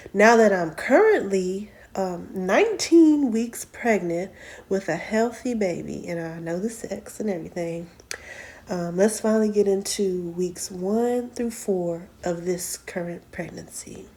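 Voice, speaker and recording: A woman in her late twenties talking casually to her viewers in a personal vlog, soft-spoken, with frequent ums and self-corrections. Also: medium pitch, lively intonation, a little slow. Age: 20s. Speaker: vlog host. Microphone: camera mic, sitting and reading from notes.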